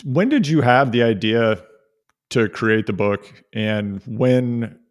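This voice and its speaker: A man hosting an interview, asking his questions in a slow and measured way. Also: medium pitch, lively intonation.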